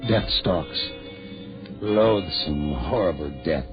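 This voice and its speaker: An actor playing a menacing horror announcer introducing a 1940s radio show, slow and dramatic. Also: male voice, rumbling low, expressive, full character voice. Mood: menacing, ominous. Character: ominous male horror announcer daring listeners into the dark. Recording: dull vintage radio recording with music. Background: prominent music.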